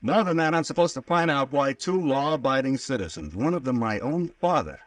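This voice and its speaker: A male actor plays a skeptical captain of police in a vintage radio mystery. His middle-pitched voice moves up and down freely as he questions and teases with dry, unflappable wit. The old recording sets him slightly back from the mic. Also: expressive, a little fast, dry recording, far from the mic, close to an everyday voice. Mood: puzzled, sardonic. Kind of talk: old-time radio drama acting.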